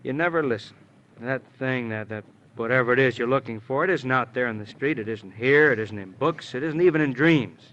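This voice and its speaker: An actor playing a wry, long-winded American police detective, rambling and needling, in 1950s radio crime drama style. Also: male voice, mid-range voice, brisk, fairly bright tone, natural voice. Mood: exasperated. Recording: dull, noisy old radio recording.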